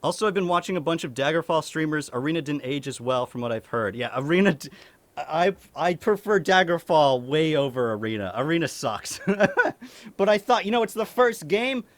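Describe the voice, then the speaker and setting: A man's voice: a little high, fairly bright tone, no echo. A young man with a light Maine accent, a streamer casually answering chat questions at home, chuckling often and swearing casually. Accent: light Maine (New England).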